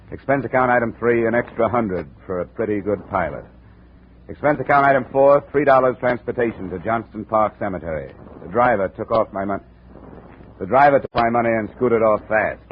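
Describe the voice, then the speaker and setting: An American actor playing a tough, unflappable insurance investigator in a 1950s radio drama, clipped and wry. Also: male voice, on the low side, brisk, close to an everyday voice. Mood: dry narration. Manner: clipped, hard-boiled, wry. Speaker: lead actor and narrator. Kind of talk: 1950s radio detective acting. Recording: noisy, tinny old radio recording.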